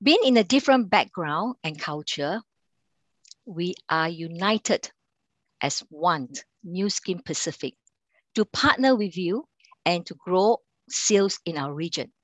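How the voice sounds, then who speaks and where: A middle-aged woman from Singapore with a Singaporean accent, running an online sales training over a video call, talking haltingly with many fillers and restarts. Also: lowish voice, animated, a bit bright, no room sound. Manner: halting delivery with frequent fillers and restarts, quizzing the audience. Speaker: account manager and sales trainer.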